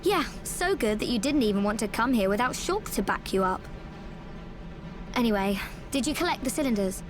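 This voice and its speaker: A woman's voice: a little high, expressive, moderate pace, lightly acted. An actress voicing a spirited, inquisitive young woman in a video game cutscene, speaking in a direct way. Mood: teasing. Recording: music behind the voice. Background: music.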